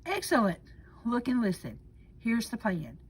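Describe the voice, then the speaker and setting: A woman's voice: on the high side, huge pitch swings, a little slow, breathy edge, heavily acted. Woman voicing a smug, pompous sly fox. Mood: coaxing. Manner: pompous, condescending, theatrical. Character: sly, smug male fox, scheming smooth-talker.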